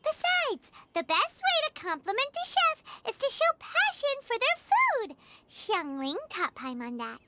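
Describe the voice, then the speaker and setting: A woman voicing a tiny, childlike floating fairy companion in an anime-style video game, chirpy, bubbly and excitable. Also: very high-pitched, unhurried, heavy background noise, heavily acted. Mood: excited. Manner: refers to self in third person, bubbly and exclamatory.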